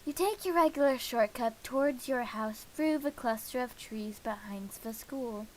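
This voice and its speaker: A woman's voice: on the high side, darkish tone, low-quality recording. A woman streamer reading a visual novel aloud with casual asides, stumbling and correcting herself as she goes. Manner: halting reading with slips and self-corrections. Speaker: game streamer.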